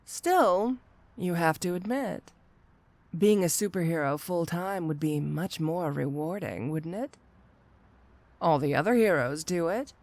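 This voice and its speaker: A woman acting as a sly, seductive supervillainess from an ASMR roleplay. She speaks slowly and a little low, teasing and coy, drawing out her questions. The sound is close and very dry. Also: lively intonation, a little mellow, no echo. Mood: coaxing, tempting.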